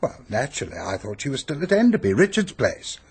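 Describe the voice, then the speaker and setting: An actor in a radio drama playing a pompous, peevish, self-pitying upper-class English hypochondriac who blusters and complains. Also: male voice, medium pitch, huge pitch swings, a little fast, husky, heavily acted. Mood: grumbling.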